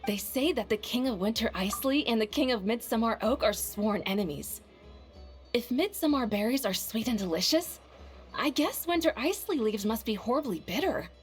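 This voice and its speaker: A woman's voice: a little high, animated, moderate pace, faint music behind, natural voice. A woman playing an earnest, curious young student at a lecture in a video game. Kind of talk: game voice acting.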